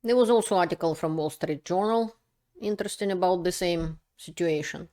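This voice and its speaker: A woman's voice: lowish voice, moderate pace, dark tone. A woman giving earnest, insistent political commentary in non-native English, with frequent restarts. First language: not Ukrainian. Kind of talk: unscripted commentary to camera.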